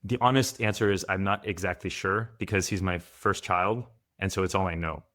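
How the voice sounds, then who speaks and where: A man's voice: deep voice, steady tone, normal speed, a bit bright. A man from France, a guest father, giving thoughtful, candid answers in a podcast interview.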